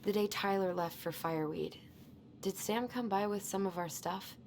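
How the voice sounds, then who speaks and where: A woman playing a guilt-ridden young woman in a naturalistic video game drama, halting, emotional and earnest. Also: mid-range voice, creaky, a little mellow, a little hiss or hum, natural voice. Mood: drained, subdued. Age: young adult.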